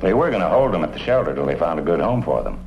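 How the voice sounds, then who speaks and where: Easygoing male detective partner, American, lowish animated voice, police-drama dialogue, dull vintage sound. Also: racing, slight background noise. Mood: chatty. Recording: dull old broadcast, faint music.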